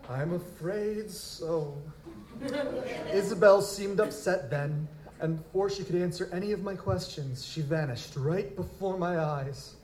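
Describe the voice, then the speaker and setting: A man's voice: high voice, a little slow. A man playing a formal, touchy young nobleman, a bereaved fiancé, in an audio drama, with stiff aristocratic diction. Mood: wistful, troubled. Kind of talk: live audio drama acting. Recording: roomy, echoing, noisy live recording.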